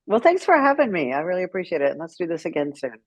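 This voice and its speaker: A woman's voice: lowish voice, sing-song, very fast, dry recording. A woman in her sixties chatting casually as an interview guest, on slightly lo-fi audio. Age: 60s. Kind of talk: casual chat.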